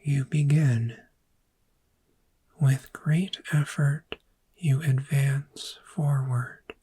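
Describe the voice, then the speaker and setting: Woman as a breathy, whispering, very slow dragon therapist. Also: very deep voice, steady tone, heavily acted. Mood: soothing, encouraging. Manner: hushed, slow, deliberate, soothing. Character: calm, gentle dragon therapist guiding a visualization. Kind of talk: soft-spoken ASMR guided imagery.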